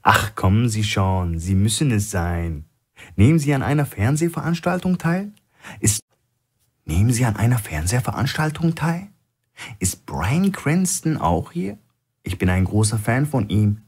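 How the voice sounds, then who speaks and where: A man voicing a bold, quick-witted woman on a covert mission in a thriller audiobook, with an urgent, persuasive manner. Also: mid-range voice, sing-song, a little mellow, husky, pitched above the performer's own voice. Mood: gushing, coaxing.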